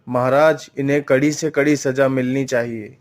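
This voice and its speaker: A man's voice: medium pitch, fairly even intonation, normal speed, close to an everyday voice. A man voicing a stern, authoritative figure of wealth and rank in a Hindi moral-story cartoon, with a commanding manner. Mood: indignant.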